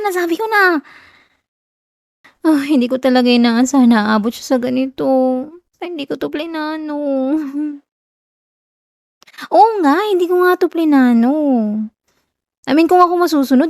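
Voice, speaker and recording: A young Filipino woman acting a whiny, shy tsundere college girl confessing love in a soft, hesitant ASMR roleplay. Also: high voice, expressive, normal speed, pauses often, dry recording, clean studio sound. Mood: flustered, whiny.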